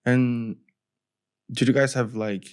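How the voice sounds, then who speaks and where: A podcast host asking a guest questions in a casual interview, with quick short replies. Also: medium pitch, fairly even intonation, darkish tone, clean tone. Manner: curious, quick back-channel replies.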